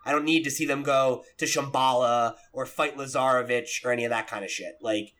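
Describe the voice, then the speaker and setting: A man's voice: mid-range voice, fairly even intonation, a little fast, fairly bright tone. A young man hosting a casual chat about games and films, swearing freely and peppering his talk with "like" and "you know". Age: young adult. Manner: frequent "like" and "you know", profane. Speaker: podcast host.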